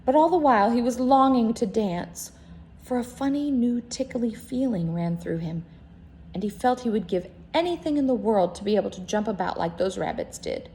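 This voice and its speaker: A woman reading a children's story aloud in an expressive, dramatic way, sometimes dropping to hushed tones. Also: a little high, normal speed, a little hiss or hum.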